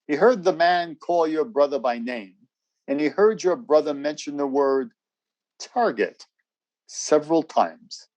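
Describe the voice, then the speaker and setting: An actor playing a brisk, guarded, courteous army major in a radio drama, with a formal, clipped, official way of speaking. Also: male voice, a little high, animated, a little mellow, low-quality recording. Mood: grave, matter-of-fact.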